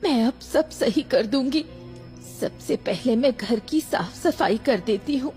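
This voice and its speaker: Woman as a mellow, remorseful young mother. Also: high voice, lively intonation, measured pace. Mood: remorseful, tender. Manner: earnest, emotional, gentle. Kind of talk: animated horror story acting. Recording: background music.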